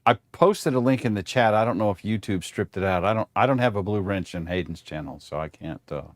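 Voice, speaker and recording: Low male voice explaining gear casually. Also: fairly quick, no echo, clean studio sound. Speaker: livestream guest. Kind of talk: casual explanatory tech chat.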